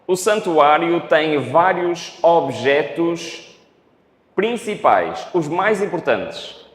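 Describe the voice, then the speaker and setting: Unhurried Portuguese-accented preacher in a hall. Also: male voice, fairly high pitch, lively intonation. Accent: European Portuguese. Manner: unhurried, asks the audience questions. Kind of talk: Bible study sermon. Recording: echoey hall.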